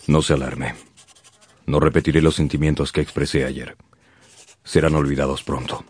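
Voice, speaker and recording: An actor playing a proud, reserved gentleman suitor in a period romance radio drama, speaking formally and gravely. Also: very deep voice, steady tone, normal speed, no echo. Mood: grave, restrained. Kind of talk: Spanish-language radio drama acting.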